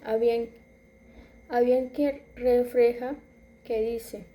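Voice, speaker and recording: A woman in her twenties reading a personal story aloud, halting at times and correcting herself as she goes. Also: fairly high pitch, fairly even intonation, mellow. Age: 20s. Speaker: student.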